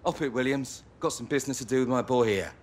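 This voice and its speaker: An actor playing a brisk, chummy English football agent with a matey, persuasive manner in a video game. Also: male voice, medium pitch, close to an everyday voice. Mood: brisk banter.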